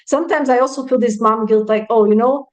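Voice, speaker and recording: A woman's voice: fairly high pitch, quick pace. A woman in her thirties, speaking frankly and reflectively as an interview guest, with many "you know" fillers. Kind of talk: casual interview conversation.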